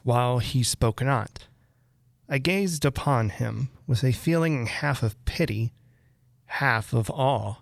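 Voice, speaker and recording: Male narrator for classic Gothic fiction: unhurried pace, creaky low-end fry, measured phrasing. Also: no room sound, clean studio sound. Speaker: narrator reading a classic Gothic short story aloud. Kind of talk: measured literary audiobook narration.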